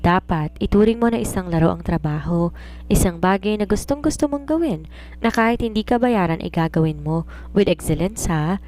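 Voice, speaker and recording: A confident woman writer in a story, played by a woman narrator, with a slightly low voice. She is earnest and preachy, explaining things in a self-assured, instructive way. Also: animated, moderate pace, a little mellow, natural voice. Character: confident, preachy woman romance writer advising her maid. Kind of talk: audiobook character dialogue.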